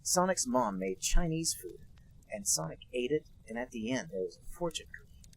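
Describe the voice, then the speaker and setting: A man co-hosting a podcast, reading a bad fan story aloud in a deadpan, mock-serious way. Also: a little high, normal speed, creaky, low-quality recording. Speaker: podcast co-host reading a fanfic. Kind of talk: comic dramatic reading.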